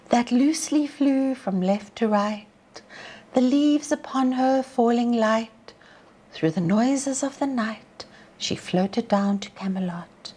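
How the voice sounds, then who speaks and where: Female storyteller-teacher, higher-pitched voice, very unhurried, dramatic and measured in delivery. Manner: theatrical, measured, drops to hushed breathy tones. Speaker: teacher reading poetry to pupils. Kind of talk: dramatic poetry recitation and storytelling.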